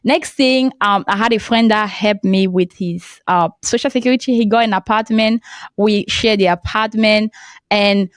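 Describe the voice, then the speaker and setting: A young woman from Cameroon, a French speaker with a Cameroonian accent, talking as a podcast guest in a halting way and laughing often. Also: medium pitch, fairly even intonation, no echo, studio quality. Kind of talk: casual interview talk.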